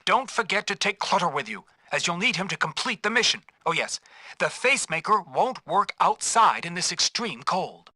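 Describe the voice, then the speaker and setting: A man's voice: high-pitched, very animated, moderate pace, sharp tone, dry recording, exaggerated voice. A man playing a businesslike spy team leader, giving instructions in a scripted video game briefing. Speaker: mission planner.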